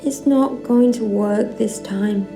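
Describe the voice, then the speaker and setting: Desperate young daughter, audio drama. High pitched, measured pace, earnest, insistent. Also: female voice, over music. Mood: urgent, resolute. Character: pleading, determined young daughter desperate to flee home.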